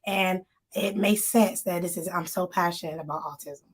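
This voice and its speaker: Woman co-host, casual live chat, a bit hoarse, speaks right to the viewers. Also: lowish voice, normal speed. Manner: chatty, addresses viewers directly. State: slightly hoarse, voice still recovering. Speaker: magazine co-host.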